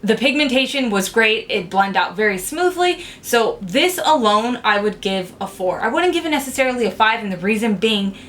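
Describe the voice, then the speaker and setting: A woman's voice: on the high side, animated, moderate pace, few pauses, fairly bright tone, slight background noise. Chatty young woman reviewing makeup. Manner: chatty, frank, filler-heavy with "like". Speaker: beauty vlogger. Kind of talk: casual makeup review to camera.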